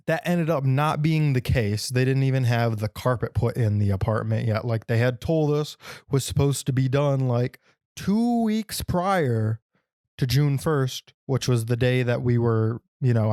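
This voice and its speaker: Young male podcast co-host, easygoing and wisecracking, mellow tone, dry close recording. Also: medium pitch, clean tone, no echo. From Michigan. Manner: jokey, laid-back. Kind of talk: casual chat.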